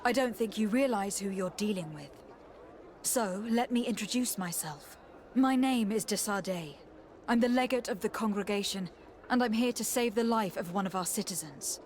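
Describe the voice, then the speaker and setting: A woman's voice: medium pitch, moderate pace, a little mellow, a little hiss or hum, lightly acted. A woman playing a composed, articulate, authoritative noble diplomat in a video game. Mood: firm.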